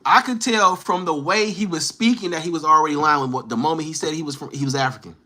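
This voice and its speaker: A man's voice: a little high, fast, pushed and bright. A man livestreaming, bantering with and teasing his chat in slangy street talk, firing challenging questions.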